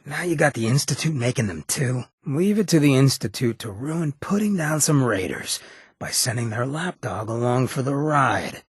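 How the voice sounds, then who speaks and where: An actor playing a roguish, streetwise ghoul in a video game, with a very raspy, gravelly voice and a cocky, laid-back, slangy way of talking. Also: male voice, medium pitch, no echo, studio quality, exaggerated voice. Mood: wry, cynical. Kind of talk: video game companion dialogue.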